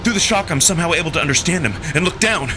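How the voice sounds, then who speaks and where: Higher-voiced man narrating dramatically over music. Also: expressive, very fast. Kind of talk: dramatic first-person visual novel narration.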